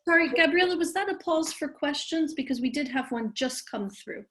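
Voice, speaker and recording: A woman's voice: high voice, lively intonation, moderate pace, fairly bright tone. A woman moderating a webinar who politely relays audience questions, rephrasing as she goes.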